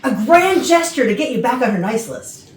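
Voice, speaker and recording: A meddling office colleague acted by a woman, sly and emphatic, in a stage comedy. Her highish voice rises and falls theatrically in a distant, echoey room. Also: very animated, slight background noise. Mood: egging on. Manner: emphatic, theatrical drawn-out words. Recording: echoey rehearsal room from far off.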